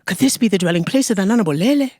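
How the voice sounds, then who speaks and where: A woman storyteller narrating a folktale in a deliberate, expressive way, with dramatic pauses. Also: medium pitch, dry recording, studio quality.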